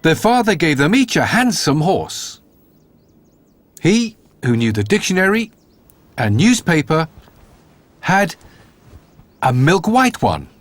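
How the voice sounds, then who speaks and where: A man's voice: on the high side, big pitch swings, slow, pauses often, no room sound. A man narrating a scripted fairy tale as a storyteller.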